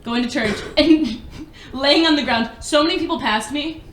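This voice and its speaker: A woman's voice: high voice, moderate pace, echoey room. A woman in her twenties co-hosting a podcast, telling stories in casual chat and laughing often. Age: 20s. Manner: giggly storyteller, laughs often. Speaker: podcast co-host.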